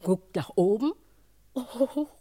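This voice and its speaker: An actress playing an excitable, horrified and chatty household cook, theatrically dramatic, in a radio crime play. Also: female voice, on the high side, slow. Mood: dramatic recounting.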